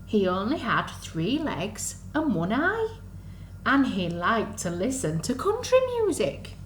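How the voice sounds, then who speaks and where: A woman reading a story to young children in an animated, sing-song, exaggerated way, as a storyteller for under-fives. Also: mid-range voice, slow. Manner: sing-song, exaggerated, asks the listeners questions. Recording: echoey room, faint background noise.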